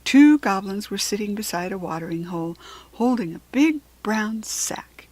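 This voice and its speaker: A woman narrates a children's storybook in an American accent. Her voice has a husky, breathy edge and sits at a middling pitch. The recording is close and dry. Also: lively intonation, moderate pace, a little mellow, dry recording, rough recording. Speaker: read-aloud children's book narrator.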